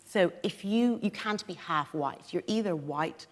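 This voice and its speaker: A woman with a softened Irish accent speaking analytically and articulately as a panellist in a live discussion in a theatre. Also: lowish voice, lively intonation, measured pace. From Dublin, Ireland. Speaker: debate panellist. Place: on stage in a theatre. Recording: echoey theatre hall.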